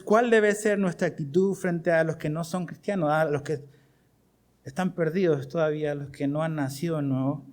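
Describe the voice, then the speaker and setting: A man's voice: fairly high pitch, darkish tone. A middle-aged man with a Chilean accent preaching to his congregation as pastor, asking them questions as he goes. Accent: Chilean Spanish. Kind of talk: sermon.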